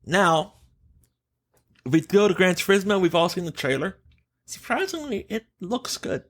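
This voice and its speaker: Animated male podcast host, higher voice. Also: normal speed. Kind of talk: casual opinionated podcast chat.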